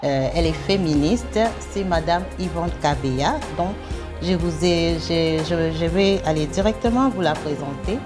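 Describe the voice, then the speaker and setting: Playful low-voiced woman co-host. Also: measured pace, over music. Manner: playful, greets viewers in Lingala. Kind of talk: casual chat.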